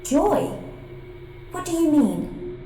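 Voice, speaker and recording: An actress playing a young royal heiress in a game, dignified, earnest and formal. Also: female voice, high voice, big pitch swings, extremely slow, vocal fry, exaggerated voice. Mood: puzzled, reverent. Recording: echoing chamber, music behind.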